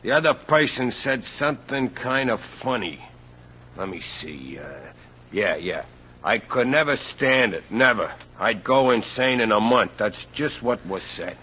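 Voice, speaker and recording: A man's voice: mid-range voice, expressive, unhurried, bright, forceful tone, slight background noise. An actor playing a nervous, defensive ex-convict handyman with slangy speech in an old-time radio crime drama. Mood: uneasy recalling. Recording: dull old radio recording.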